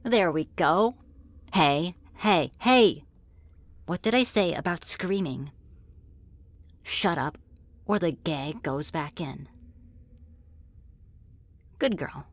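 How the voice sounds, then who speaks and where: A woman's voice: low voice, lively intonation, takes it slowly, long pauses, no echo, heavily acted. A woman voicing a friendly, teasing female demon in an ASMR roleplay, with casual, politely menacing delivery. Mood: stern. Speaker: roleplay voice performer. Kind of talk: ASMR roleplay, scripted one-sided dialogue.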